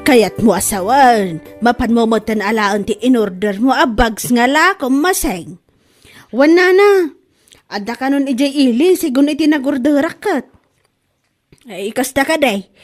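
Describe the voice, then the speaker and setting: A woman playing a quarrelsome, gullible, indignant woman in a comedy audio drama, with an Ilocano accent and drawn-out exasperated delivery. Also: a little high, soft background music, lightly acted. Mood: indignant, scolding. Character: quarrelsome, gullible woman accusing a bag seller of lying. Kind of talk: Ilocano comedy radio-style drama acting.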